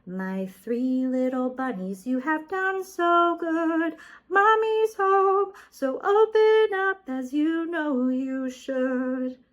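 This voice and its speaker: A woman voicing a gentle mother rabbit. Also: high voice, drawn-out words, soft background music, pitched above the performer's own voice, full character voice. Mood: singing sweetly. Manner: calm, instructing her children.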